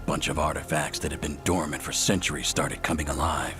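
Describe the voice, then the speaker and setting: A man playing a gruff, blunt elven magic expert in a fantasy video game. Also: extremely low pitch, fairly even intonation, a little fast, sharp tone, faint music behind. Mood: gruff, accusing. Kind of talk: video game cutscene acting.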